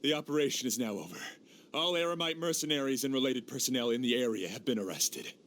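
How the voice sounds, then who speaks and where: A man's voice: mid-range voice, lively intonation, fast, fairly bright tone, slight background noise. A man voicing an alert, dutiful rank-and-file soldier calling out reports in a video game. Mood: reporting.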